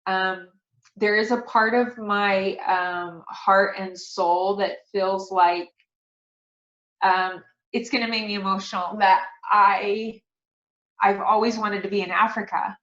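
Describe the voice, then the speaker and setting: A woman's voice: medium pitch, fairly even intonation, slow pace, fairly bright tone. Middle-aged female guest, sincere and faith-minded storyteller in a casual interview; dull, echoey recording. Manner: earnest, devout, personal. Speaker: interview guest, author and speaker. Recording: dull, roomy sound.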